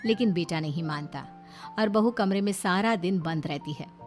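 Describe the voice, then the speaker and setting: A woman narrating a cartoon family story in a steady storytelling manner. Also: fairly low pitch, normal speed. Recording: faint background music.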